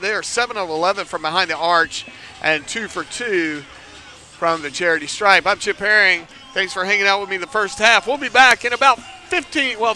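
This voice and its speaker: A man calling a basketball game live from an indoor arena, chatty, making joking asides about himself and rising to near shouts on big plays. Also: sits high. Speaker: play-by-play commentator.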